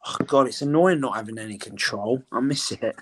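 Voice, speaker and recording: A man's voice: medium pitch, fairly quick. A man, hosting a casual group chat over a video call, chatty and self-deprecating. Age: 30s. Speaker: host.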